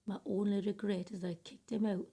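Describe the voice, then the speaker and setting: A woman playing a wry, weary professional woman in a radio play monologue, confiding drily in a husky, breathy, creaky voice. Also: medium pitch, little pitch movement, brisk, dark tone.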